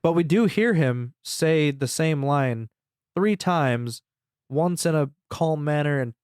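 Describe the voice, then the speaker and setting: A man's voice: on the high side, animated, slow pace, darkish tone, smooth, no room sound. Informal male podcast host, dry close sound. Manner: rambling, informal, swears freely. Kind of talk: casual recap storytelling.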